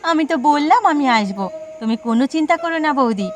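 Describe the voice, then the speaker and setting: A woman's voice: high-pitched, animated, fast, soft background music. A woman voicing an eager, helpful village neighbour in a cartoon, gushing and chatty. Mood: eager, admiring. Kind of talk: cartoon voice acting.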